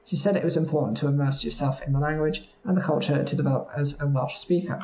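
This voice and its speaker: A man reading news stories aloud at a steady pace, sometimes stumbling over words. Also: fairly high pitch, quick pace, echoey room. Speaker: news reader.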